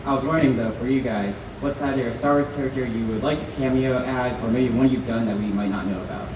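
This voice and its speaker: Man in audience, quiet question, clear background noise. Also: medium pitch, fairly even intonation, fast. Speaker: fan asking a question. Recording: echoey hall with noise from far off.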